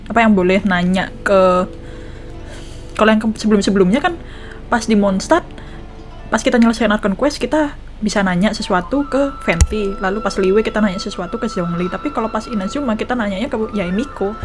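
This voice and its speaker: A woman's voice: mid-range voice, fast talker. A woman gaming streamer with an Indonesian accent. She comments casually on what she plays in a mid-range voice, with faint game music underneath. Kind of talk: casual playthrough commentary mixing Indonesian and English.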